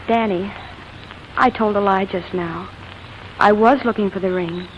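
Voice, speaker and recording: An actress playing a spirited, quick-tempered woman in a 1940s radio comedy, with dramatic delivery. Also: medium pitch, dull audio, lightly acted. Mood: tender. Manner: tart, dramatic. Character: spirited, quick-tempered woman performer, tender when in love. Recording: faintly hissy 1940s radio broadcast recording.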